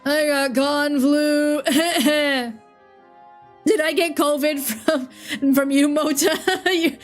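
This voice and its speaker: A woman's voice: sits high, fairly even intonation, very slow, soft background music. Sniffly young woman streamer, giggly. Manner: rambling, giggly, self-interrupting. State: sick with a cold. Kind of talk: casual livestream chat.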